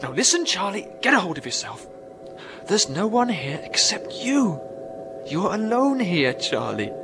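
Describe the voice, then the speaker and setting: A man's voice: high-pitched, big pitch swings, a little slow, heavily acted. Man acting lonely astronaut, music behind. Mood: resolute, anxious. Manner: emphatic, self-addressing, agitated. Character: lonely, stranded British male astronaut fraying on a moon base. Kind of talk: radio drama acting.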